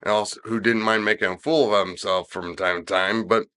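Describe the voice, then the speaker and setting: A man's voice: on the low side, steady tone, fairly quick, sharp tone, clean tone. Stuffy-nosed man in casual chat. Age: middle-aged. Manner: rambling, with many restarts and fillers. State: stuffy nose, slightly nasal. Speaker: podcast co-host discussing wrestling.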